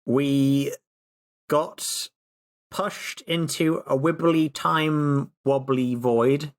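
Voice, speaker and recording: A man's voice: on the high side, steady tone, very slow. A man chatting casually as a player on a tabletop role-playing podcast, with playful, theatrical phrasing. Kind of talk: casual chat and recapping.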